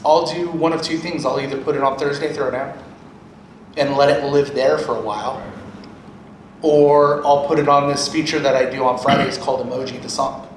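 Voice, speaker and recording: A man's voice: medium pitch, slight background noise. A man speaking as a guest radio programmer on a panel, giving advice to artists and repeating key points for emphasis. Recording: loud music behind, roomy sound.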